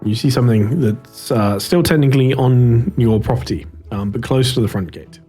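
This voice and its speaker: Halting male game master over loud music. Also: medium pitch, husky. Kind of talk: narrating and refereeing a live tabletop roleplaying game.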